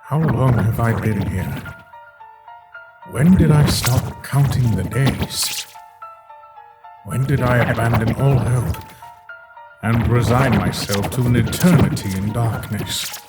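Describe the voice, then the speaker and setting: Male voice actor as grieving father, formal soliloquy, slow pace, roomy echo, comic-dub drama. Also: medium pitch, lightly acted. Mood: sorrowful, resigned. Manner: brooding, reflective, formal soliloquy. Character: Gaster, lonely grieving scientist father, long trapped, wistful. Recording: roomy echo under loud music.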